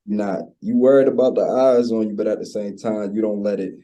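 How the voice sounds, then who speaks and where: A man's voice: on the low side, fairly even intonation, a little fast, mellow. A man in his twenties with a Baltimore accent, chatting casually as an interview guest in a slangy, laid-back way. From Baltimore, USA. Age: 20s. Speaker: interview guest, recording artist. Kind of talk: casual chat.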